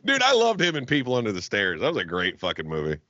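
A man's voice: on the low side, huge pitch swings, fast talker, bright, forceful tone, dry recording, highs cut off. A man in his thirties co-hosting a podcast in casual chat, swearing freely and laughing often, over a video call from home. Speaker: podcast co-host. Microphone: studio mic on arm, headphones.

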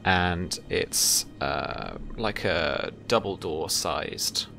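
A young man narrating a tabletop role-playing game as game master, pausing to search for his words. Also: deep voice, gravelly. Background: background music.

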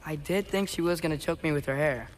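A man's voice: a little high, fast, background noise, lightly acted. A young-sounding male actor playing an earnest, awkward teenage boy in a video game, hesitant and apologetic. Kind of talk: video game cutscene acting. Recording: background music under dialogue.

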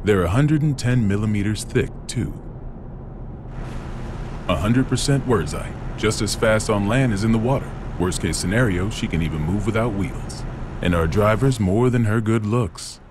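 An actor playing a jovial, boastful middle-aged President of the United States in a dubbed anime game cutscene. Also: male voice, on the low side, normal speed, background noise, natural voice.

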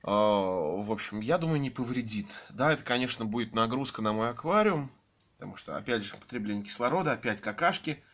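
A man with a slightly hoarse voice from a cold, filming his aquariums at home on a handheld phone and talking in a rambling, hesitant way full of fillers. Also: medium pitch, lively intonation, a little fast, fairly bright tone. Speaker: aquarium hobby vlogger. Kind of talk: casual home vlog, off-camera narration.

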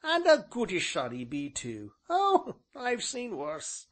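A man's voice: sits high, huge pitch swings, slow pace, voice pushed up, heavily acted. A man voicing a talkative, chuckling old rustic grandfather with an English rural dialect who repeats himself. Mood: proud, gleeful.

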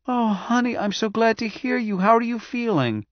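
A man's voice: a little high, dry recording, lo-fi sound, pitched above the performer's own voice, natural voice. A man putting on a chattering eager wife. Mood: eager chatty. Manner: chattering, quick, affectionate. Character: warm, eager wife, adult woman, chatty.

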